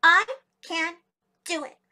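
Woman doing a tiny, high-pitched plucky muskrat. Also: fairly even intonation, fast talker, sharp tone, exaggerated voice. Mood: proud, determined. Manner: proud, bold declarations. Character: tiny proud female muskrat, small but determined.

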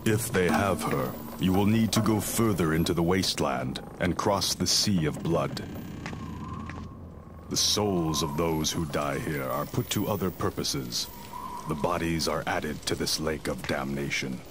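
An actor playing a grim masked ice ninja warrior in a video game cutscene, speaking in a grave, measured, cold way. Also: male voice, rumbling low, background noise. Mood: stern.

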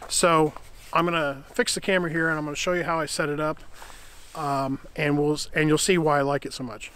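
A middle-aged man casually reviewing camping gear outdoors in a winter forest, explaining in a relaxed, rambling way that corrects itself as he goes. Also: a little high, a little fast, smooth.

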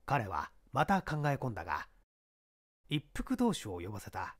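A man narrating a literary mystery audiobook in a deliberate, theatrical way. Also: fairly low pitch, wildly swooping pitch.

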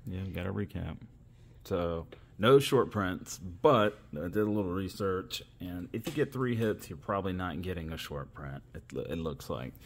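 A man's voice: low-pitched, expressive, moderate pace. A man hosting a casual video, giving running commentary as trading cards are opened. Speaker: host of a card-opening channel.

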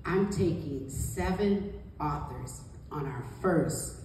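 A middle-aged woman with a deep, dark-toned voice speaks to an audience as an author and coach. Her delivery is emphatic and encouraging. The room is very reverberant, and she is picked up from some way off. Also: animated, takes it slowly. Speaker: guest author and book coach. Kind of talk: motivational panel talk to an audience. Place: small indoor meeting room. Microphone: handheld mic, captured by distant camera. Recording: distant, very echoey room, faint noise.

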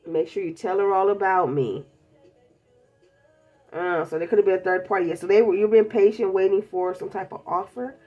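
A woman's voice: mid-range voice, moderate pace, mellow, lo-fi sound, soft background music. A woman with a stuffed-up cold, chatting bluntly and casually through a tarot reading, calling her viewers babies. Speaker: tarot reader. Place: indoors at a table covered in tarot decks.

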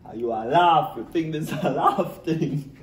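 A man's voice: on the high side, measured pace. A young Trinidadian man co-hosting a vlog, chatting playfully and laughing often. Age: young adult. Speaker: vlog co-host. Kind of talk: casual joking chat. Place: small indoor room with dark backdrop. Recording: roomy echo, faint noise.

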